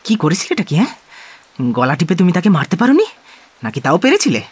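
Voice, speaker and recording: A man acting a bitter, suspicious husband in a Bengali audio drama, husky and accusing, snapping out taunting questions. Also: high-pitched, huge pitch swings, a little fast, full character voice. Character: bitter, suspicious, ailing husband, prone to abusive rage.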